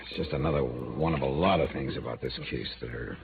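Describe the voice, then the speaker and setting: An American actor playing a world-weary, blunt private investigator in a terse, dramatic 1950s radio noir style. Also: male voice, a bass voice, a little fast, creaky, noisy background. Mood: weary, puzzled. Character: world-weary hard-boiled male insurance investigator, brooding and blunt. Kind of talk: 1950s radio noir acting, narrating and dialogue. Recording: muffled vintage radio recording with music.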